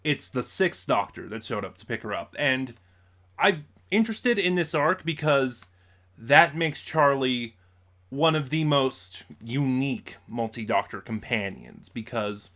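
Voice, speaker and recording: A man's voice: mid-range voice, fairly bright tone. A man talking casually as a vlogger in an unboxing video, rambling and over-explaining as he goes. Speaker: YouTube vlogger, collector and reviewer.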